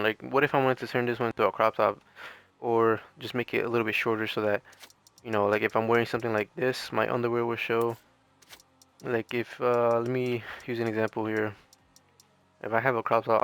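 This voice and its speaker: Casual, rambling man with a clear voice. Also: mid-range voice, fairly bright tone, no room sound. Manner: informal, rambling, hesitant. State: losing his voice, mildly strained. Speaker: gaming video creator. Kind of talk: casual gameplay commentary.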